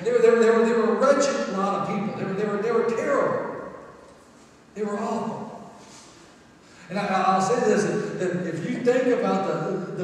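A man's voice: high-pitched, a little hiss or hum. A middle-aged man preaching a conversational sermon in a church sanctuary, often repeating words as he builds a point. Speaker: pastor. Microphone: far camera, room pickup. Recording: echoey hall from far off.

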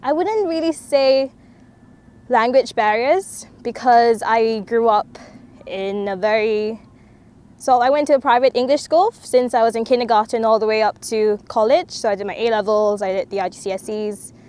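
Young woman from Malaysia, slightly high voice. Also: normal speed, a little mellow. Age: young adult. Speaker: student interviewee. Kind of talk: casual interview answers. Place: outdoor park.

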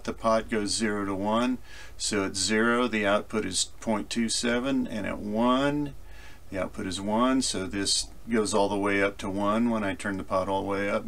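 Low, gravelly man explaining software. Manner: explanatory, conversational, thinking aloud. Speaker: tutorial presenter. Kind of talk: informal software screencast tutorial.

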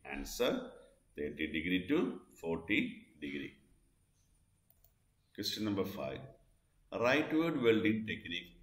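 A man narrating a scripted question-and-answer lesson as an instructor, deliberately repeating what he reads. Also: low-pitched, a bit bright. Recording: echoey, roomy voice-over.

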